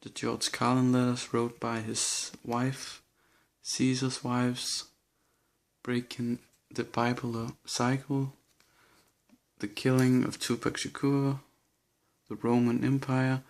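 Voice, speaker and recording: A man's voice: mid-range voice, completely flat delivery, slow pace, creaky. A young man with a Danish accent, listing books in a casual bookshelf tour, hesitant and a little nervous. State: nervous and shaky. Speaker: vlogger.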